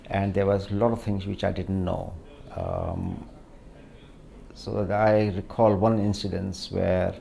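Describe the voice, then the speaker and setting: A middle-aged man from Pakistan, a surgeon speaking hesitantly and reflectively with many pauses in a documentary interview. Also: low-pitched, creaky, warm tone, slight background noise. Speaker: hand surgeon.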